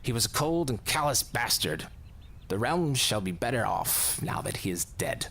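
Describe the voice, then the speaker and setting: A man playing a florid, flattering young lord. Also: normal speed, slight background noise. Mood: bitter. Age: 30s. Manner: florid, swooping and courtly, sinking low and brooding when upset. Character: vain flattering young male lord, theatrical and bitter. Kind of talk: audio drama acting. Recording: music bed under the voice.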